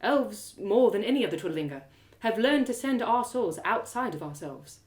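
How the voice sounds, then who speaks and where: A woman plays a solemn female elf warrior in an audio drama. She is calm and measured, recorded in a roomy space. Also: medium pitch, natural voice.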